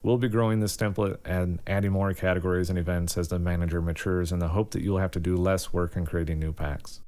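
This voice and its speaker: A man with a low-pitched voice narrates a tutorial. He explains things step by step in an informal, instructional manner, as a presenter walking learners through software. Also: steady tone, brisk.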